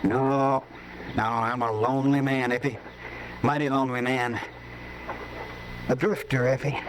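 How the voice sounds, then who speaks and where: An actor playing a lonely, charming drifter in a vintage radio drama, speaking softly and coaxingly. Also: male voice, level tone, a little slow, close to an everyday voice, low-quality recording. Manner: soft, low, coaxing talk. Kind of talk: 1950s radio drama acting. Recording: hissy old radio broadcast.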